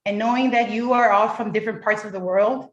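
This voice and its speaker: A woman's voice: mid-range voice, fairly even intonation, echoey room, muffled recording, heavy background noise. A woman moderating a youth forum on a video call, chatty and encouraging. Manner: encouraging, chatty, drawn-out delivery.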